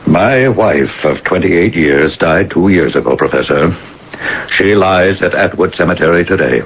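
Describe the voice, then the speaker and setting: A skeptical, composed small-town mayor in radio drama style. His voice is extremely deep, his manner polite and halting. The sound is dull and slightly hissy. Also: expressive. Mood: calm, apologetic. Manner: hesitant, polite, measured. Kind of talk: 1980s radio drama acting. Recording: muffled, hissy old radio broadcast.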